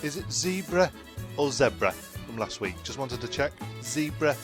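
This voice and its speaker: A man in a comedy podcast chatting in a hesitant, halting, polite and deadpan way. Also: medium pitch, music in the background. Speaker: comedy podcast cast member.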